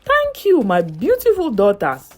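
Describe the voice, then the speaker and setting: A woman's voice: on the high side, wildly swooping pitch, a little slow. A woman voicing a manipulative older schoolgirl who plays mother, honeyed and commanding, in a narrated folktale. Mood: sweetly grateful. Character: manipulative, sweet-talking senior schoolgirl acting as mother.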